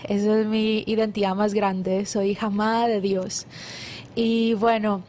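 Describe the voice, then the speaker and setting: A woman's voice: medium pitch, little pitch movement, a little slow, slight background noise. Guatemalan woman sharing faith testimony. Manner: warm devout storytelling with many fillers and restarts. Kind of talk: casual testimony interview.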